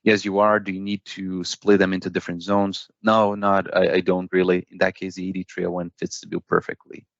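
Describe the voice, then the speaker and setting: A man giving a conversational technical product explanation as an interview guest, often checking in with a quick "right?". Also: low voice, little pitch movement, vocal fry, fairly bright tone, dry recording, highs cut off. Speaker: product expert guest from a paging hardware company.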